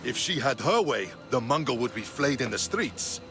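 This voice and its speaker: An actor playing an earnest, principled Spartan soldier in a video game, speaking in a measured, dramatic way. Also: male voice, medium pitch, big pitch swings, fairly bright tone. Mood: earnest, resolute. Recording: music behind the voice.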